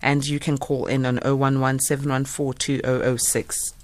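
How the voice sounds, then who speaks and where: A low-pitched woman speaks close to a studio mic in a dry, dead room. She hosts a late-night radio show, leading her guest with questions and many small fillers. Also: flat delivery, normal speed, darkish tone, no echo. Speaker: radio host. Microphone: studio microphone.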